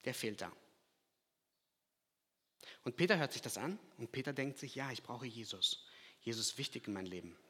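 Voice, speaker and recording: A man from Kazakhstan preaching a sermon, swinging from loud emphatic lines to quiet, hushed asides. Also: mid-range voice, expressive, moderate pace, long pauses, breathy edge. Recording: long hall reverb.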